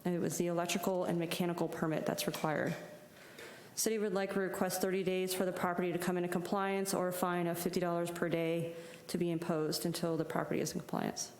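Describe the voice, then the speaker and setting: Woman code officer, low flat delivery, roomy hall. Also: slight background noise. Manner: flat, formal, halting with fillers. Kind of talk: formal case report. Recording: echoey hall from council mic.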